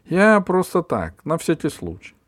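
A man voicing a fussy, excitable middle-aged woman neighbour who talks in a high, loud, drawn-out way. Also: no echo, clean studio sound, exaggerated voice. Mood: flustered.

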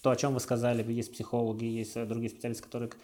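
A man speaking as an interviewer in a casual, conversational way, thinking aloud haltingly. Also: mid-range voice, completely flat delivery, a little mellow.

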